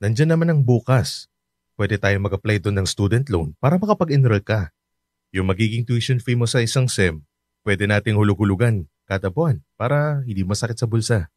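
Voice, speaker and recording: A man playing a hardworking fifty-year-old taxi-driver father in a radio drama, speaking gently and reassuringly. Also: on the low side, animated, brisk, no echo, studio quality, close to an everyday voice. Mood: caring.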